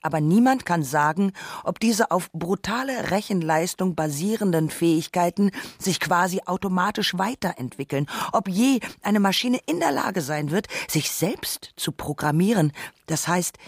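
A woman's voice: lowish voice, breathy edge, no echo, studio quality. A woman reading scripted narration for a radio documentary.

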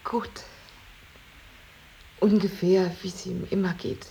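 An actress playing an earnest, devoted unmarried daughter in a German radio drama, speaking in a measured, intense way with weary dignity. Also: female voice, medium pitch, flat delivery, warm tone, low-quality recording. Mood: wry, composed. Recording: radio drama with clear tape hiss.

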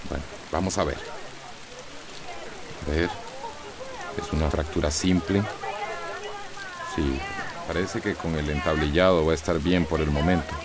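An actor playing a stern, scolding village doctor with a Central American accent in a radio drama. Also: male voice, rumbling low, fairly even intonation, unhurried, pauses often. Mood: stern, urgent. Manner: stern, authoritative.

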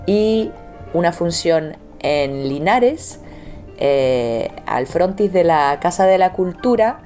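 A young woman, a theatre artist speaking as an interview guest in a relaxed, informal way. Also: fairly low pitch, takes it slowly, faint music behind. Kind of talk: casual interview talk.

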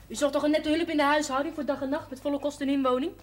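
A woman's voice: high-pitched, fairly even intonation, very fast, natural voice. A woman playing a cheeky young maid. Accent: Dutch. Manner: cheeky, blunt, chatty. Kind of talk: 1970s TV drama acting. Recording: old TV soundtrack with faint hiss.

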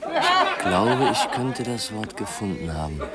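A noisy old radio recording of a very deep-voiced young man. He is an earnest, brooding heir, courteous yet probing, in 1950s German radio drama style. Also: huge pitch swings, a little slow, over a noisy crowd. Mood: preoccupied. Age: young adult. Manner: pensive, probing, polite. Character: earnest, brooding young heir seeking his uncle's secret.

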